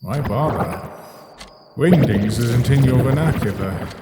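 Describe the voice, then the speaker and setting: Male voice actor as grieving father, formal soliloquy, slow pace, roomy echo, comic-dub drama. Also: medium pitch, expressive, heavy background noise, close to an everyday voice. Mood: wistful. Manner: brooding, reflective, formal soliloquy. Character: Gaster, lonely grieving scientist father, long trapped, wistful. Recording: roomy echo under loud music.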